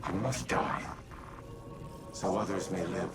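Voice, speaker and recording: Game cast: a calculating old machine intelligence, deep and gravelly, formal cadence, heavy score behind. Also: male voice, level tone, slow, heavily acted. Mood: resolute. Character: ancient male warmind AI, solemn and calculating. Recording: game audio in a reverberant space with loud music.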